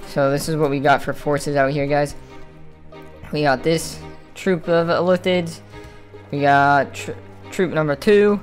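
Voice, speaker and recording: A man's voice: fairly high pitch, natural voice. Man playing an informal, commanding kraken villain dad. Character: villainous kraken father commanding minions, fiercely protective of his baby. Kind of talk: improvised Minecraft roleplay.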